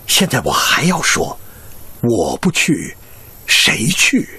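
An actor playing an older doctor and professor, ardent and determined, in theatrical Chinese radio drama style. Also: male voice, mid-range voice, wildly swooping pitch, takes it slowly, sharp tone, husky, no room sound, heavily acted. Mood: fervent. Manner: theatrical, fervent.

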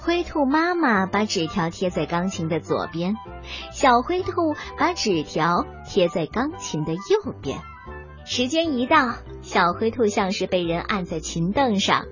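A woman narrating a scripted children's story with expressive, acted storytelling. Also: mid-range voice, highs cut off.

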